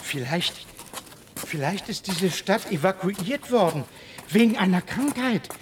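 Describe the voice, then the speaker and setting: An actor playing a nervous stranded space traveller in a radio play, agitated, stammering and repeating words. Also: male voice, lively intonation, a little slow. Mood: uneasy reasoning. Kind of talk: German radio play acting. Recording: faint noise and low rumble.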